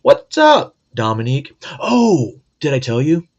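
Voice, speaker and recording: A man's voice: on the high side, huge pitch swings, a little slow, dull audio. A middle-aged man hosting a casual monologue, blunt, profane and joking. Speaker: host.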